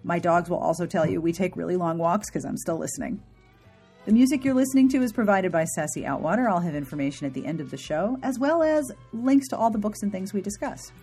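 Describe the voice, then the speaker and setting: Female podcast host, lower register with some rasp, informal, enthusiastic delivery, playful asides. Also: animated, fast talker, warm tone, faint music behind. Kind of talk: chatty podcast intro and sponsor read.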